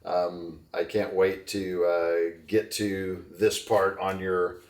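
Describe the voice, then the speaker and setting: A man's voice: sits low, flat delivery, slow. A middle-aged man hosting a podcast, talking in an enthusiastic, rambling way with many fillers. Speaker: podcast host. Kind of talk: casual interview chat. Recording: roomy, distant from the mic.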